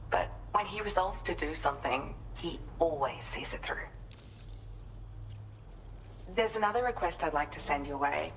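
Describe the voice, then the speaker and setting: A woman's voice: sits low, a bit bright, a little hiss or hum, low-quality recording. An actress playing a kind, composed woman prospector and inventor in a video game, speaking politely and articulately in an explanatory way. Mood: earnest, reflective. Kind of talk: scripted video game cutscene dialogue.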